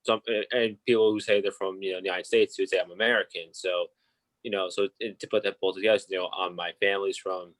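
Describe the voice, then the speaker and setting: A man's voice: low voice, flat delivery, fast talker, fairly bright tone, low-quality recording. A young man co-hosting a podcast who talks casually and hesitantly, with many ums and uhs. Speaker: podcast co-host. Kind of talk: casual discussion.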